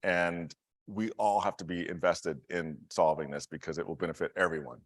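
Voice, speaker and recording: Man, 50s, low-set voice, earnest and reflective, casual video-call Q&A. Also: fairly even intonation, moderate pace, no room sound, clean studio sound. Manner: earnest, reflective, chuckles often. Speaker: conference speaker in a Q&A. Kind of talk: casual conversation.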